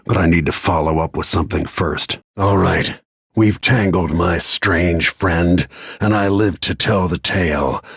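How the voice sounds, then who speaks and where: A man voicing a grim, middle-aged assassin and protective father in a video game, gravelly and husky, with terse, brooding, controlled delivery. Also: low-pitched, fairly bright tone, no echo, clean studio sound, heavily acted.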